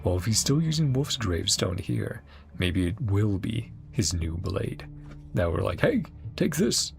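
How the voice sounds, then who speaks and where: Casual game-reaction streamer: mid-pitched, animated and gravelly, chatty and rambling, with game music faintly underneath. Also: measured pace. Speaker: gaming streamer reacting to a story quest. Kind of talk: casual live game reaction commentary, partly reading dialogue aloud.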